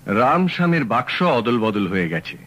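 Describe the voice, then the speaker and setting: Cool sharp detective guy, measured and matter-of-fact, old radio drama. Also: mid-range voice, very animated, lo-fi sound. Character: calm, shrewd male detective, in his thirties. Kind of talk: 1970s radio drama acting.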